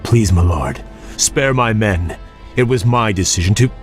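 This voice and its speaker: A man's voice: lowish voice, unhurried, natural voice. An actor playing a noble, troubled young male elf prince in a game cutscene, formal, grave and earnest. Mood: pleading. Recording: game music behind the voice.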